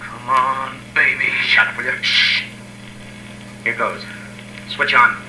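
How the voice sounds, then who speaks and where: An actor playing an excitable, impatient expedition man in urgent 1950s radio drama style. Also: mid-range voice, takes it slowly, close to an everyday voice. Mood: impatient, tense. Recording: noisy, echoey old radio recording.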